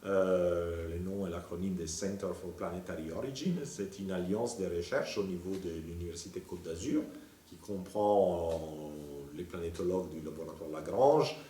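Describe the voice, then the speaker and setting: A man, a planetary scientist explaining his research in a measured way, with frequent hesitations. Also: deep voice, mellow, echoey room, distant mic, slight background noise. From Italy.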